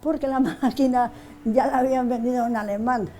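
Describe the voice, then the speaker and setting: A woman's voice: a little high, fairly even intonation, fairly quick, mellow. An older woman being interviewed, telling old memories in a rambling way, often repeating words and restarting. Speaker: interviewee, widow of the artist. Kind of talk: casual reminiscing in an interview.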